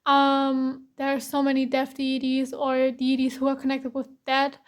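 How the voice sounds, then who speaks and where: A woman from Germany giving a casual tarot reading, hoarse with a cracking voice, reassuring and repeating herself. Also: high-pitched, monotone, normal speed. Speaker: tarot reader.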